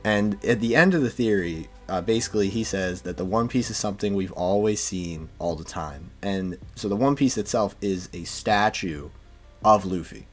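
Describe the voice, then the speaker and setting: A man's voice: lowish voice, moderate pace, soft background music. A man in a casual podcast chat, explaining things hesitantly with plenty of fillers.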